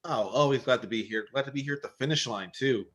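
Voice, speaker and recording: A man appearing as a guest analyst on a podcast, speaking in a relaxed, matter-of-fact way. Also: medium pitch, quick pace. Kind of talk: casual chat.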